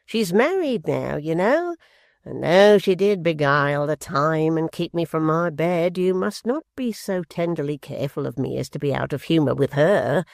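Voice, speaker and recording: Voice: mid-range voice, very animated, creaky, no room sound, studio quality, full character voice. A reader voicing Quilp, a malicious, cunning male dwarf who drawls with false affection. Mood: mocking. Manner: slow, mocking, falsely affectionate.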